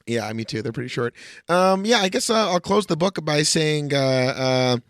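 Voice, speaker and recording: Animated, high-pitched male podcast host. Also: moderate pace, no echo, studio quality. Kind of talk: casual comic banter.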